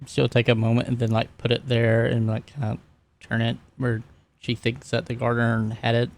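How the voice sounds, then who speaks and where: A man playing a tabletop roleplaying game, talking casually and describing his character's actions in a halting way. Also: mid-range voice, flat delivery, gravelly.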